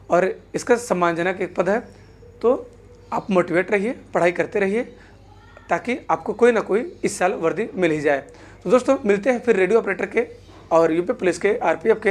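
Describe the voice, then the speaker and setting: A woman speaks to viewers as a video presenter. Her voice sits at a medium pitch. She explains things informally, point by point, often returning to the same set phrases. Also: darkish tone. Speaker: exam-news video presenter.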